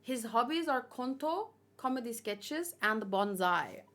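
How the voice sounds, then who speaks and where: A woman doing casual livestream commentary, reading aloud and reacting in a chatty, expressive way. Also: fairly high pitch, unhurried. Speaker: manga read-along streamer.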